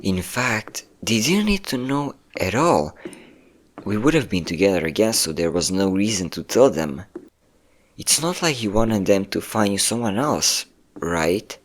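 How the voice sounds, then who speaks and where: A man's voice: on the low side, lively intonation, unhurried. A man playing a possessive, manipulative ex-boyfriend in a dramatic ASMR roleplay, coaxing with pet names and sweet-talking menace. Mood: accusing, possessive. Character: possessive, manipulative yandere ex-boyfriend hunting his hidden partner.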